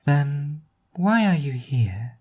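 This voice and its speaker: A woman voicing an eerie, doll-like teenage girl prophet who speaks in a flat, measured, pedantic way. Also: low voice, husky, pitched above the performer's own voice, exaggerated voice. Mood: detached, probing.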